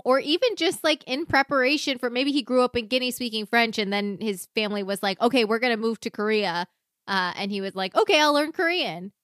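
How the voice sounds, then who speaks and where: A woman co-hosting a casual show discussion, animated and acting out quoted lines, laughing often. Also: a little high, no room sound, studio quality. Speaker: podcast co-host. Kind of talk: casual chat.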